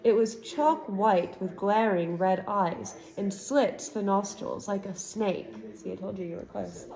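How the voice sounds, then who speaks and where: A woman reading a chapter book aloud to a child, with expressive narration and relaxed chatty asides. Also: medium pitch, measured pace, vocal fry, poor audio. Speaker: storybook reader.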